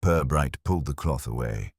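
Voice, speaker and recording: A man narrating a scripted detective story in a measured, literary, atmospheric manner. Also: a bass voice, expressive, a little mellow, breathy edge, dry recording, clean studio sound.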